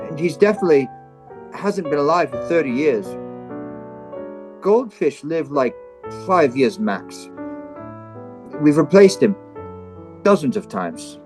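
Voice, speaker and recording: A man's voice: on the high side, animated, slow pace, pauses often, soft background music. A man playing an exasperated, worried adult son pleading with his mother, in a live comedy script reading.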